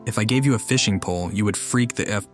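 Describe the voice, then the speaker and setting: A woman's voice: a bass voice, fast talker. A woman playing a video game and commenting casually with dry, sarcastic asides. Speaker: gamer commenting over a playthrough. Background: game music.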